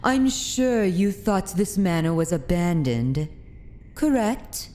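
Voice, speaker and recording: Woman acting a slow, imperious vampire mistress. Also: lowish voice, very animated, dark tone. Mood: sardonic. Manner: imperious, archaic formal speech, deliberate and commanding. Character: haughty, dominant aristocratic vampire mistress of a manor. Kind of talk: scripted ASMR fantasy roleplay.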